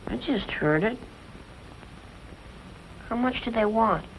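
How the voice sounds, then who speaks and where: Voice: medium pitch, expressive, takes it slowly. An actor playing an earnest, guilt-ridden young ranch boy in an old-time western drama, on a dull, noisy recording. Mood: subdued worry.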